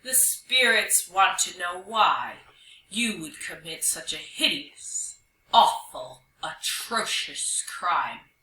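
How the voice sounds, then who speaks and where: A woman voicing a young hyena who poses as a commanding ghostly spirit, declaiming slowly with stern authority in a storybook. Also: on the low side, big pitch swings, pushed and bright, roomy sound, heavily acted, low-quality recording. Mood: stern, grandiose.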